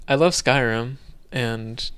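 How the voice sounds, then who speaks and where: A man's voice: medium pitch, takes it slowly, fairly bright tone, clean tone, no echo. A man co-hosting a casual podcast, chatting loosely with many fillers and restarts. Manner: rambling, lots of fillers and restarts. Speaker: podcast co-host.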